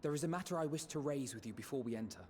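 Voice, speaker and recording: A man's voice: a little high, darkish tone, a little hiss or hum, close to an everyday voice. A man voicing a courteous scholar advisor. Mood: earnest. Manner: polite, measured.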